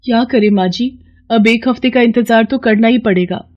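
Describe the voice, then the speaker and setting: A woman's voice: on the high side, normal speed, a little mellow, dull audio, pitched above the performer's own voice, natural voice. A woman voicing a devoted young daughter-in-law in a storybook tale, earnest and respectful.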